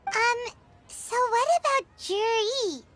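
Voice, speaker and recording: A woman voicing a tiny, eager, childlike fairy companion with a squeaky voice in a video game. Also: slow pace, a bit bright, full character voice. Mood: curious. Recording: music playing behind.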